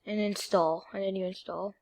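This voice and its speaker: Halting, informal tutorial voice, female-sounding. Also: medium pitch, steady tone, low-quality recording. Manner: informal, halting step-by-step instructions. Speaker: video creator giving a tutorial. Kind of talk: casual software tutorial.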